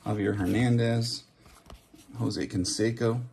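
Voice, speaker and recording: A man's voice: lowish voice, steady tone, slow pace, gravelly, a little mellow. A man giving offhand, casual running commentary as host, reading out names and musing aloud over faint handling noise. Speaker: host of a trading card opening channel. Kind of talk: casual running commentary while opening card packs. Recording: background hiss, handling clicks and card shuffling.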